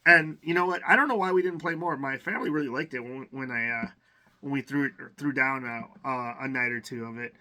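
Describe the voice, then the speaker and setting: A man's voice: medium pitch, brisk, sharp tone. A man casually talking through a game unboxing, rambling with lots of fillers and restarts. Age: adult. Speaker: collector unboxing games.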